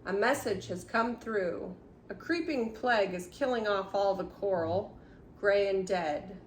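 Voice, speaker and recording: A woman's voice: mid-range voice, unhurried, close to an everyday voice. A woman voicing a male soldier crab colonel in a children's storybook, barking formal military orders in verse. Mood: commanding. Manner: loud, formal, declaiming in verse. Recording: roomy echoey home recording, faint noise.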